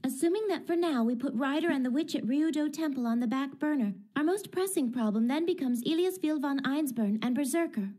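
A woman playing a confident, bossy teenage girl mage in an English anime dub, brisk and teasing. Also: high voice, animated. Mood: businesslike. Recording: loud guitar music behind the voice. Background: loud guitar music.

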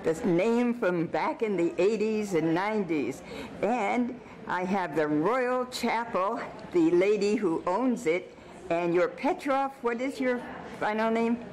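A woman's voice: mid-range voice, expressive, slow pace, darkish tone. Chatty woman TV host, noisy surroundings. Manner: enthusiastic, chatty. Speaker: TV host, interviewer. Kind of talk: event interview. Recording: noisy outdoor and party ambience.

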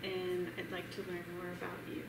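A middle-aged woman with a gravelly voice welcomes a gallery crowd and thanks everyone. She is heard from across an echoey hall, with steady room noise under her. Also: on the low side, moderate pace, far from the mic. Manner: friendly, thanking people, inviting guests up. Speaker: gallery host. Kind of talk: event welcome. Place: large gallery hall, indoors. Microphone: distant camera mic.

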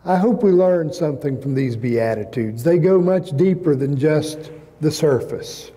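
Slow, high-voiced older preacher in a reverberant church. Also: male voice, lively intonation, dark tone. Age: 60s. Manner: measured, emphatic delivery with pauses and repeated phrases. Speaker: pastor preaching to a congregation. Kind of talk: sermon with personal anecdotes. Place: large church sanctuary, indoors at a pulpit.

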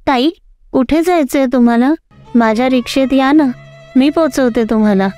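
A woman's voice: normal speed, dark tone, clean tone, no room sound, faint music behind, close to an everyday voice. A woman voicing a meek pregnant rickshaw driver. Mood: polite. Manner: pleading, high-pitched.

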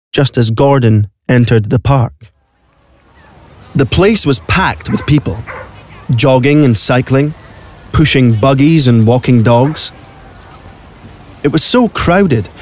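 A man's voice: medium pitch, a little mellow, no echo, natural voice. A man narrating a scripted audio drama as the storyteller.

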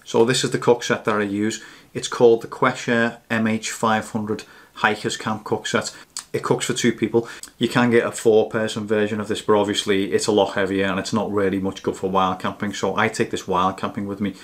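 A man's voice: on the low side, flat delivery, brisk. A man in his thirties or forties giving a casual, matter-of-fact gear review to camera. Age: 30s to 40s.

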